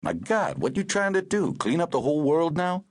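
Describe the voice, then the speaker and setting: A gruff, protective middle-aged driver, voiced by a male narrator, sounding husky and close with lively swings in pitch. He talks bluntly, like a worried old friend. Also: normal speed, dry recording, full character voice. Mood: concerned.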